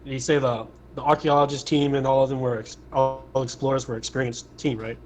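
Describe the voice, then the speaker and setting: Quick-talking man in a tabletop game. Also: mid-range voice, little pitch movement, low-quality recording. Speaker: player in a tabletop roleplaying game. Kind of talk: casual roleplay talk. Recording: faint hum on a home mic.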